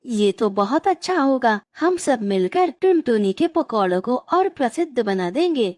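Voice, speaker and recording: A woman's voice: fairly high pitch, big pitch swings, measured pace, a little mellow, no echo, clean studio sound. A woman voicing a caring, helpful male crow in a cartoon moral story. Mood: pleased. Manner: earnest, helpful.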